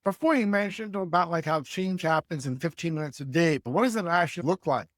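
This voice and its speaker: Guy hosting a podcast, asks quick questions, slightly high voice, dry sound. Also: dry recording. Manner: asks short questions with "like" and "you know". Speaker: podcast host. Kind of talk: interview questions.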